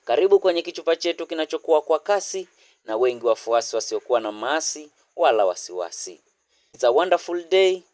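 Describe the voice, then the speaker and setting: A man's voice: a little high, big pitch swings, fast talker, darkish tone, no room sound. A man, hosting a radio interview and putting simple questions to his guests. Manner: welcoming, rhyming opener, simple questions. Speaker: radio host.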